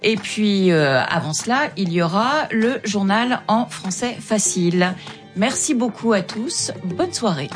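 A woman's voice: mid-range voice, lively intonation, measured pace, over music. A woman hosting a radio culture show, announcing programmes and thanking guests, with frequent "euh" hesitations. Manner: hesitant, many "euh" fillers. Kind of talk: radio presenting.

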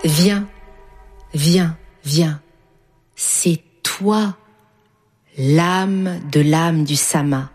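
A middle-aged woman with a slight Persian accent, a dancer speaking thoughtfully in an interview and reading poetry. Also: low-pitched. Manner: slow, thoughtful, with many pauses and fillers. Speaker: dancer and whirling dervish, guest. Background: violin music.

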